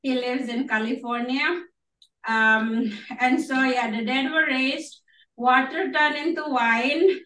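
A woman from Indonesia speaks as a guest pastor, telling her story with frequent laughter and amens. Her voice is high and bright. She is picked up from some distance in a roomy, echoing space. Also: steady tone, slow pace. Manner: warm, laughing, affirming with amens. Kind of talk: testimony at a church gathering. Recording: roomy echo, mic from afar.

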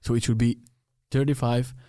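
Czech-accented man in halting English. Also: mid-range voice, level tone, dark tone, no room sound, studio quality. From Czech Republic. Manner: halting English, restarts and repeats words, laughs easily. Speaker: podcast host. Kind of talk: casual interview conversation.

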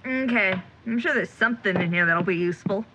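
A woman's voice: medium pitch, brisk, close to an everyday voice. A young woman playing a jittery, chatty amateur ghost investigator in an audio drama, talking in casual, rambling slang. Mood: musing, nervous. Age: young adult. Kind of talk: audio drama acting, chatty self-talk. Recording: muffled recording with background noise.

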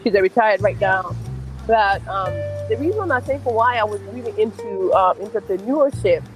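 A woman's voice: mid-range voice, lively intonation, normal speed, music in the background, poor audio. Chatty Tanzanian woman guest. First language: Swahili. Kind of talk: casual podcast interview.

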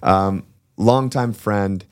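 A man's voice: fairly low pitch, drawn-out words, clear, resonant tone, no echo, studio quality. A man hosting a podcast, interviewing a friend in a laid-back, teasing way. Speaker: podcast host. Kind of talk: casual interview.

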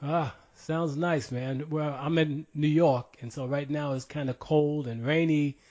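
A man hosts an interview podcast, reading scripted introductions and asking easy, friendly questions. His voice is mellow and dark in tone. The recording sounds dull and lo-fi. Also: a little high, steady tone, unhurried. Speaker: podcast host. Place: New York. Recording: dull lo-fi audio.

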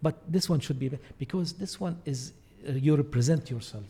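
A man's voice: fairly high pitch, fairly quick, gravelly, warm tone, husky. A man, an Arabic speaker, giving opinions in conversation and emphatically repeating key phrases. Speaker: interview guest.

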